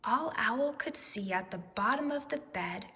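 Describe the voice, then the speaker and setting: Slow, expressive woman reading a storybook. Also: fairly high pitch, long reverb tail. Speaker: storybook reader. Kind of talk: expressive children's read-aloud narration.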